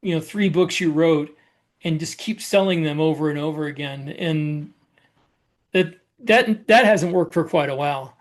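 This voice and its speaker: A man's voice: fairly high pitch, moderate pace. A man in his fifties co-hosting a podcast, explaining things casually as a working writer. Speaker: podcast co-host, fiction writer. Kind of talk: casual chat, explaining by analogy.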